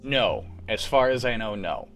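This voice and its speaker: A man's voice: on the low side, expressive, measured pace, a bit bright, faint music behind. A young man hosting a casual after-show chat on a video call, rambling with lots of fillers. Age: young adult. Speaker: host of a live radio-play reading show. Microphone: home mic on a video call.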